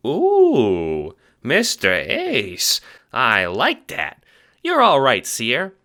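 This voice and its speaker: A man voicing a short, clumsy, brash but good-natured guard in an audio drama, with a squeaky chipmunk voice and an Italian-American accent. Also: huge pitch swings, very slow, sharp tone, exaggerated voice. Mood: chummy, boastful. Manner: slangy gangster talk, brash.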